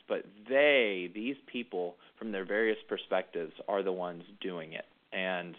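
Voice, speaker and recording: A man being interviewed about a book he wrote, speaking thoughtfully with frequent pauses and restarts. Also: low voice. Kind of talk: reflective conversational interview. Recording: tinny phone-like line.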